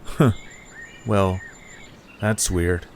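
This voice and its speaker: An easygoing young leader, voiced by the narrator with a somewhat low voice. He talks in a wry, casual way in an audio drama. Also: steady tone, drawn-out words, darkish tone, slight background noise, full character voice.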